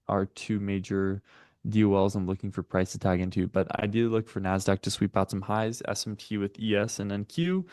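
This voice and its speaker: Man, live trading host, French first language, deep gravelly voice, methodical market calls. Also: dark tone, no room sound, clean studio sound. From United States. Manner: methodical, calls out price levels and setups; chats with viewers between chart reads. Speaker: day-trading stream host.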